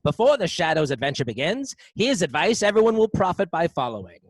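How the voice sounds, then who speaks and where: An actor playing an earnest vintage radio sponsor announcer, reading a formal, emphatic sales pitch. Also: male voice, big pitch swings, fairly quick, dry recording. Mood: earnest, selling. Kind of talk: old-time radio advertising read.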